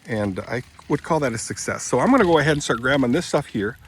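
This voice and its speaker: Man in his seventies, average pitch, chatty and wandering self-hosted vlogger outdoors; handheld phone sound with faint background noise. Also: animated, fast talker. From Michigan, USA. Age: 70s. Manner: chatty, wandering, corrects himself often. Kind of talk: rambling casual vlog chat. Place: outdoors on rural land.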